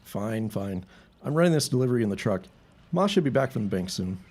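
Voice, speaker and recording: An actor playing a nosy, teasing young brother who works in the family store, blunt and needling, in a period audio drama. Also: male voice, on the low side, sing-song, brisk, darkish tone, a little hiss or hum. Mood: teasing, prying. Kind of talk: period radio-style audio drama acting.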